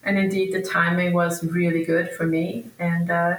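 A woman in her fifties from Denmark, a native Danish speaker, answers interview questions conversationally, thinking aloud in a halting, hesitant way. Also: lowish voice, flat delivery. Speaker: podcast guest, researcher and academic. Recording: roomy echo, distant from microphone.

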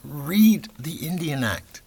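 A man's voice: on the high side, animated, takes it slowly, creaky, a little mellow, slightly rough, exaggerated voice. Actor doing a tired pointed native farmer guy, voice goes up and down a lot. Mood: weary. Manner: pointed, weary.

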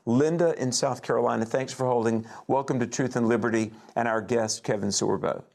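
A man's voice: medium pitch, fairly even intonation, fairly quick, vocal fry, darkish tone. A man hosting a call-in talk show, chatting with his guest and taking calls. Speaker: host.

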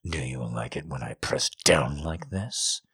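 A man voicing a commanding, growling male lover who speaks low and often in a hushed whisper. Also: wildly swooping pitch, normal speed, creaky, slightly rough, heavily acted. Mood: domineering.

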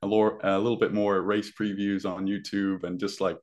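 A man's voice: on the low side, normal speed. A young man who grew up in Ireland, chatting casually as a podcast guest with a faint Irish accent and lots of fillers. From Zimbabwe. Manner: relaxed, many fillers.

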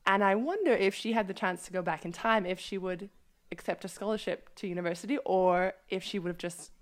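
A woman co-hosting a podcast over a video call, talking in a thoughtful, measured way. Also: mid-range voice. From USA. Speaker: podcast co-host. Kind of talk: casual chat. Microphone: video call mic.

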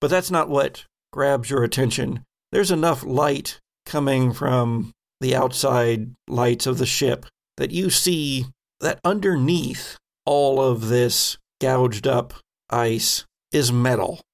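A man narrating a tabletop game as game master, with a halting, descriptive storytelling manner. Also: medium pitch.

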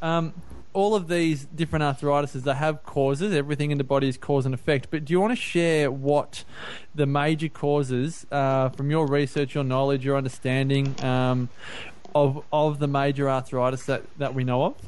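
A male podcast host with a mid-range voice asks long, rambling questions. He teases his guest in a relaxed, chatty way. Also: mid-range voice, normal speed, clear, resonant tone. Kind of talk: casual interview chat.

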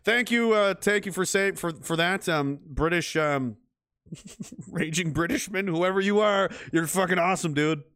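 A man's voice: high voice, unhurried, fairly bright tone, clear, resonant tone, no echo, studio quality. A man hosting a livestream, rambling in casual, heated and profane commentary. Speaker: livestream host.

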